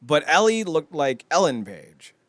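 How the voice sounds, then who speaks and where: A man's voice: fairly high pitch, sing-song, a little slow, no room sound. A man co-hosting a casual pop-culture podcast, rambling and swearing freely as he chats. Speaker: podcast co-host.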